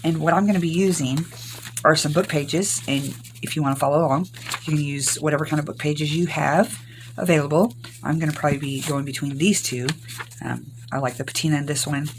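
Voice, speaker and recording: An older woman from Arkansas, hoarse from recent illness, chatting through a rambling crafting tutorial. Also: low voice, fairly even intonation, fairly quick, noisy background. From Arkansas, US South. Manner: rambling, homey, many ums. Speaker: craft channel host.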